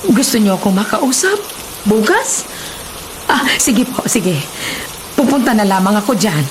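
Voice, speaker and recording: A woman's voice: medium pitch, very animated, measured pace, background noise. A woman playing a caring, worried nanny who speaks her thoughts aloud in a radio drama. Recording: loud music and rain effects.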